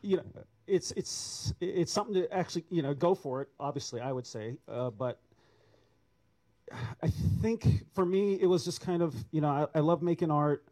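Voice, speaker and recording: A man's voice: on the high side, normal speed, dark tone. A man answering an audience question on an artists' panel, rambling and restarting his sentences. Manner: rambling, self-correcting.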